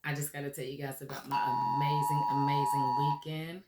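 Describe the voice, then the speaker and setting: Raspy lady radio host, encouraging talk, kinda flat delivery, echoey. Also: very deep voice, fairly quick, heavy background noise. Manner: earnest, encouraging, frequent "okay?" and "um". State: raspy, recovering from laryngitis. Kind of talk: motivational talk show monologue.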